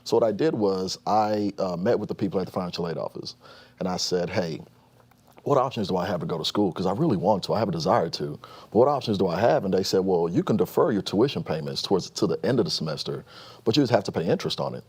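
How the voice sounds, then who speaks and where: A man in his thirties from Nigeria, a guest telling his story in a casual interview, quick and full of restarts. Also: deep voice, breathy edge. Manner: fast-talking, animated storyteller with frequent restarts and laughs.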